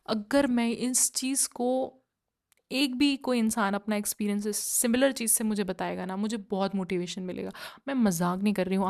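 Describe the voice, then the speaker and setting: A woman's voice: medium pitch, creaky, darkish tone. A young woman from India talking straight to her viewers in a chatty, earnest way, switching between Hindi and English. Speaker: vlogger. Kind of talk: casual talking-to-camera vlog.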